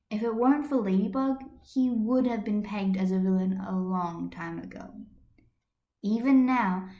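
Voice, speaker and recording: A woman's voice: medium pitch, a little mellow, reverberant. A woman reading a fan story as an amateur narrator, recorded on a computer's built-in microphone. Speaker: amateur podfic reader.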